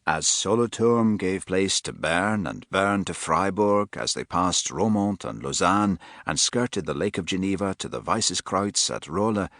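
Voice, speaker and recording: A man with a very low voice reads a novel with a measured, expressive delivery. His pitch moves in a lively way rather than staying flat. The studio sound is dry, close and free of room echo. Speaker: audiobook narrator. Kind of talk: scripted narration.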